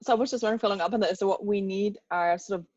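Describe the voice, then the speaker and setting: Young woman from germany asking a question on a call, lots of um and like, flat muffled dry audio. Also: mid-range voice, very fast, no room sound. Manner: chatty, laughing, full of likes and ums. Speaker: audience member asking a question. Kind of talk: casual question at a lecture. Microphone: video call.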